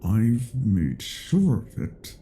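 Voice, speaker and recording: Guy doing a menacing vampire, super slow n gravelly, haughty, talks down to his prey, bit of noise behind. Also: fairly low pitch, huge pitch swings, dark tone, full character voice. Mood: menacing, commanding. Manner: slow, menacing, condescending, deliberate. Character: cruel, haughty male vampire toying with his captive. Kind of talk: dramatic roleplay audio acting.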